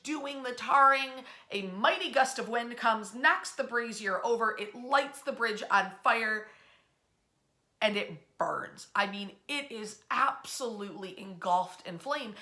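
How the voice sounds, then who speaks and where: A woman presenting local history as an animated storyteller, in a conversational, emphatic and folksy way. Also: a little high.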